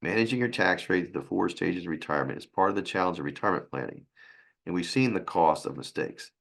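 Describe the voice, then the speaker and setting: A man with a raspy, hoarse voice from a sinus infection, presenting a financial webinar in a halting way. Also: deep voice, lively intonation, a bit bright. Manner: halting, with restarts and fillers.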